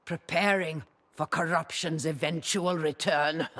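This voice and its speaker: A woman playing a centuries-old reclusive sorceress crone in a video game, raspy and husky, theatrical and deliberate, haughty and brooding. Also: low-pitched, fairly bright tone, heavily acted. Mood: weary, defensive. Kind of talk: dramatic video game acting. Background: background music.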